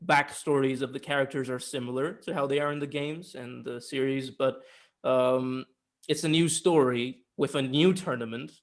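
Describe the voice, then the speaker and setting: Man with mid-pitched voice, casually explaining. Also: fairly even intonation, moderate pace. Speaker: podcast co-host. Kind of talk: casual film review chat.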